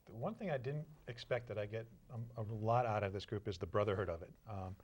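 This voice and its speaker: A man with a somewhat low voice talks about barbershop singing. His manner is friendly and unhurried. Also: animated, a little mellow. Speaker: barbershop singer. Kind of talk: casual interview answers.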